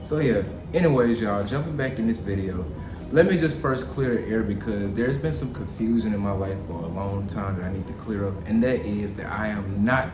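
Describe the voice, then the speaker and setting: Chatty Alabama-raised man in his thirties, storytime vlogger, lowish voice, echoey room with music under. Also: moderate pace. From Auburn, Alabama. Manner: chatty, addresses viewers as y'all. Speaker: vlogger and chef. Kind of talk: casual storytime vlog. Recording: roomy echo with music behind.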